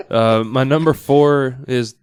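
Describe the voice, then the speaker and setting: A man with a clear, resonant voice of medium pitch talks casually as a podcast guest. He thinks aloud in a halting way, recorded dry in a studio. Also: no room sound. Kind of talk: casual chat.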